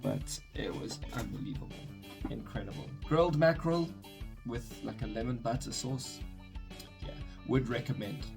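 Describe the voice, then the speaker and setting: A young South African man talking in a relaxed, rambling way, often stopping to correct himself mid-sentence. His voice sits at an ordinary middle pitch. A guitar track plays clearly behind him. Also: moderate pace. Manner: rambling, self-correcting, chatty. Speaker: vlogger. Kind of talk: casual talk to camera. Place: his bedroom.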